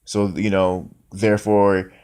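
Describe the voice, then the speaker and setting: Low-voiced man giving casual boxing commentary. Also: monotone, takes it slowly, a little mellow, clear, resonant tone. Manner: conversational, opinionated, peppered with "man" and "you know". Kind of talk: casual off-the-cuff sports commentary.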